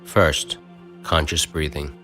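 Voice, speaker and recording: A man with an extremely low voice talks a listener through a guided meditation, counting each breath. He speaks softly and unhurriedly, with long pauses. Faint new-age music plays behind him. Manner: calm, soft, unhurried instructions with long pauses.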